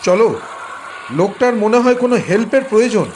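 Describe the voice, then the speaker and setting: A man's voice: sits high, lively intonation, a little fast, darkish tone, a little hiss or hum. An actor playing a carefree young man who is driving a speedboat with his fiancée, talking in a playful, teasing way. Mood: curious. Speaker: actor in audio drama. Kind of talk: audio drama acting.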